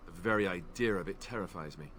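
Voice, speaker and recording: A man's voice: low voice, fast, a bit bright, slight background noise. An actor playing an awkward, self-deprecating young male knight in a game, talking in a hesitant, rambling way. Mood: uneasy. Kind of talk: game character dialogue.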